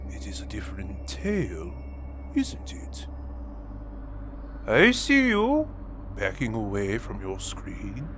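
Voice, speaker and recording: A sneering audio drama villain, slow menacing monologue, slightly high voice swinging all over, music under it. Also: male voice, heavily acted. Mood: taunting menace.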